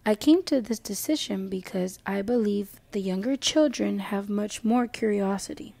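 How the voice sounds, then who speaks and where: A young woman with a Mexican background reading a prepared self-introduction as an education student, slowly and carefully. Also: mid-range voice, gravelly, mellow. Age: young adult. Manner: slow, careful reading, switching to Spanish for a passage.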